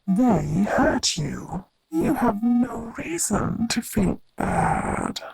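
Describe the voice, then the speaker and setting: A woman playing a sinister, seductive inner voice that coaxes and feeds obsession in a horror radio drama, husky and breathy. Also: on the high side, big pitch swings, drawn-out words, darkish tone, soft background music, exaggerated voice, rough recording. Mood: coaxing, scornful. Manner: coaxing, insistent.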